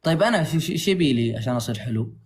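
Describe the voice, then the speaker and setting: A man's voice: mid-range voice, very fast, a little hiss or hum. A man from Saudi Arabia with a Saudi accent, bantering casually and jokingly on a livestream. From Tabuk, Saudi Arabia. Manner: joking, animated.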